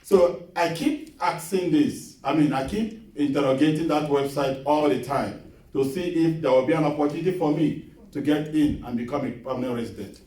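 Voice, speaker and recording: A middle-aged man preaching a sermon in a small church, earnest and measured, repeating his key phrases as he tells a personal testimony. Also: a little high, warm tone. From Nigeria. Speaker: guest preacher. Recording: echoey hall, voice picked up from a distance.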